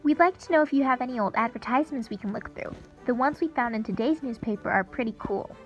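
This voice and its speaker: A woman's voice: a little high, fast, soft background music, lightly acted. A woman playing an enthusiastic, inquisitive young girl in a scripted radio drama.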